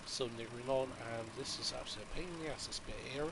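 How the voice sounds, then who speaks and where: A man sounds a little bunged up from a cold as he chats his way through a farming game, rambling and thinking aloud between moves. His pitch sits in an ordinary middle range. Quiet game sounds hum faintly behind him. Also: very fast. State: congested from a cold. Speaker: gaming channel host. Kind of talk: casual gameplay commentary.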